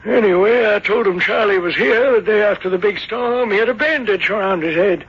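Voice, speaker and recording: A man's voice: sits high, a little fast, breathy edge. An actor playing a flustered, defensive small-town innkeeper in a radio drama, stammering and folksy as he grumbles. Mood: defensive, recounting. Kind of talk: 1970s radio drama acting. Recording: dull lo-fi old radio broadcast.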